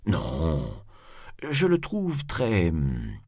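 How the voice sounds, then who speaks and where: A man's voice: very deep voice, wildly swooping pitch, very slow, dry recording, clean studio sound, exaggerated voice. A man voicing a timid, polite townsman, hesitant and meek, in a storybook. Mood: nervous, flustered.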